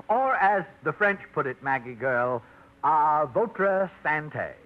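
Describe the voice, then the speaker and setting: A man's voice: fairly high pitch, very animated, slow. An actor playing a hesitant, fussy man who stammers, in a 1950s radio drama. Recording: tinny, hissy 1950s radio broadcast.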